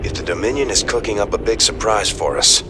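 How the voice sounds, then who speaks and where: An actor playing an earnest second-in-command in a video game, giving formal, measured briefings. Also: male voice, on the low side, lively intonation, lightly acted. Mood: serious briefing. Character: earnest, dutiful military second-in-command, adult man. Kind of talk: video game cutscene acting. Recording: music behind the voice.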